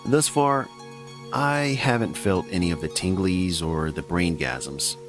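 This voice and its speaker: A man's voice: sits low, measured pace, music in the background. A middle-aged man hosting a podcast, talking in a wry, chatty, self-mocking way. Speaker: podcast host. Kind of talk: casual scripted podcast narration.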